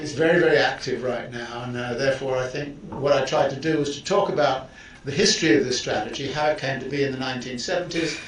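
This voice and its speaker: A male professor giving a deliberate, explanatory lecture to students and often restarting his phrases. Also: a little high, fairly bright tone. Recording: echoey room, distant mic, faint hiss.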